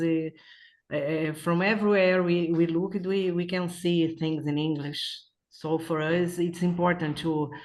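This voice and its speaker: A man's voice: a little high, darkish tone. A man from Brazil, a student speaking earnest English over a video call, repeating words as he searches.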